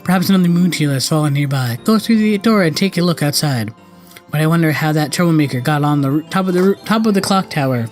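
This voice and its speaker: A man reading aloud the dialogue of a fussy, excitable video game townsperson in an emphatic, exclaiming way. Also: fairly high pitch, fast, lightly acted. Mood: curious, emphatic. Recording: game music behind the voice.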